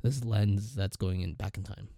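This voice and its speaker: A man's voice: on the low side, moderate pace, gravelly, mellow, dry recording, clean studio sound. A young man co-hosting a podcast in loose, rambling, jokey casual chat. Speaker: podcast co-host.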